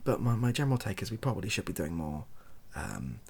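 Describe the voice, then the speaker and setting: Soft, low, creaky British man. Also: big pitch swings, quick pace, slight background noise. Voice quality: soft, breathy, lapsing into near-whisper. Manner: self-deprecating, hesitant, wry. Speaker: video host. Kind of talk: softly spoken improvised rambling monologue.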